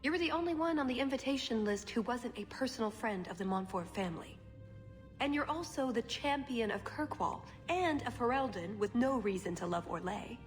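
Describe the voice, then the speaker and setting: Woman acting a poised, measured spy, faint music. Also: fairly high pitch, animated, natural voice. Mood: frank. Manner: measured, wry, aphoristic. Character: poised female spy, cool, philosophical, self-assured. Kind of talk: video game voice acting.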